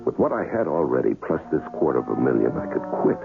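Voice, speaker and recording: An actor playing a shrewd, world-weary hired assassin in a 1970s radio drama, terse and tough, with an American accent. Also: male voice, a bass voice, big pitch swings, fast, vocal fry, full character voice. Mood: brooding narration. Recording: thin, phone-like vintage radio, music.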